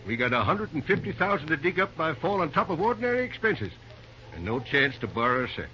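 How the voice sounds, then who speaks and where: A man's voice: on the high side, sing-song, quick pace, pushed and bright. An actor playing a kindly, folksy older American railroad man with country talk in an old-time radio drama. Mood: earnest, hopeful. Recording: muffled, noisy old radio recording.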